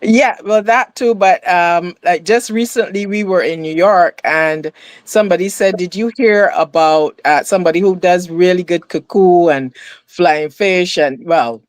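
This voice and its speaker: A woman's voice: lowish voice, a little slow, no echo. A middle-aged woman from Barbados, giving thoughtful, slightly hesitant conversational answers on a video call. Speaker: diaspora guest. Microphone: video call, device close in front.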